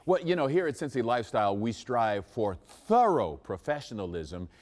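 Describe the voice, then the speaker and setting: A man's voice: medium pitch, moderate pace. A man hosting a local morning TV show, chatting and joking with his co-hosts and reading lists aloud. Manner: chatty, lively banter, reads lists. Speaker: TV show host. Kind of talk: morning TV chat.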